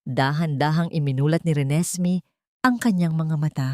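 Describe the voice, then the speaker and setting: Low-voiced woman narrating a dramatic story. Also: normal speed, warm tone, no echo, clean studio sound.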